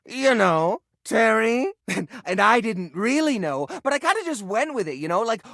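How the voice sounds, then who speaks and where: A man voicing a cheerful, fussy, nerdy household junk drawer in a video game, with enthusiastic delivery and mild, squeaky-clean exclamations. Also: sing-song, moderate pace, gravelly, a bit bright, no room sound, studio quality, exaggerated voice. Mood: chatty, flirty. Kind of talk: animated video game character voice acting.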